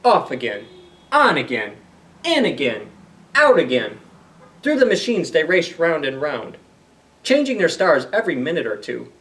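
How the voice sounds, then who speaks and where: A man reading a storybook aloud to children in an animated way, pausing to explain simply and ask questions. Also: a little high, recorded from a distance. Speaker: library story time reader.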